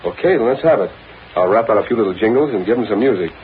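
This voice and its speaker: A tinny, slightly noisy vintage broadcast carries an actor as a cynical songwriting crook. He talks fast and slangy, with breezy, streetwise swings of pitch in classic radio-drama style. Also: animated, lightly acted. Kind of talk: old-time radio drama acting. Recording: tinny old radio broadcast, faint noise.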